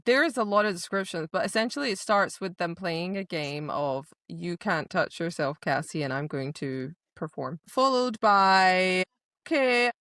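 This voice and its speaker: A woman's voice: lowish voice, fairly bright tone, smooth, dry recording, clean studio sound. A woman podcast co-host casually retelling book scenes, slipping into quoted dialogue and repeating words for emphasis. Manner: chatty, acts out quoted dialogue.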